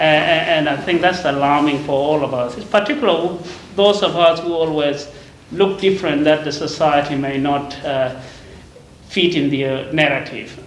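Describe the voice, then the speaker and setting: Measured man speaking on a panel. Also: fairly high pitch. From South Sudan. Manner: measured, with pauses and uhs. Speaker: panel speaker, community worker. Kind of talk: panel remarks. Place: community forum venue. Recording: echoey hall, light noise.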